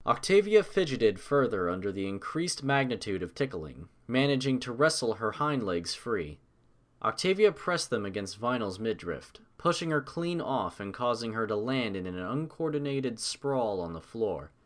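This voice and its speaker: A story narrator with a very animated delivery, reading scripted prose. The intonation rises and falls widely from phrase to phrase. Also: medium pitch, moderate pace.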